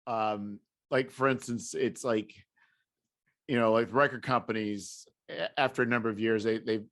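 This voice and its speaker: A man's voice: fairly low pitch, fairly even intonation, normal speed, a bit bright. A man hosting a podcast in casual conversation, feeling his way through rambling, self-correcting sentences. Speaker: podcast host.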